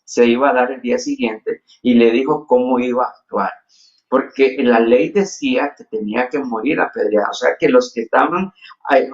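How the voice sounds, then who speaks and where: A man's voice: medium pitch, dull audio. Earnest Honduran preacher man. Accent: Honduran Spanish. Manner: earnest preaching with frequent restarts and fillers. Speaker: pastor. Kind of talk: sermon.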